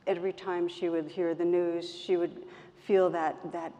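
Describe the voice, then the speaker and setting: A woman with a soft voice speaking gently and reflectively as a meditation teacher, in measured phrases with pauses. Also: fairly low pitch, little pitch movement. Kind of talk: reflective talk in an on-stage conversation. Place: event stage in a hall. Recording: roomy hall, muffled, background noise.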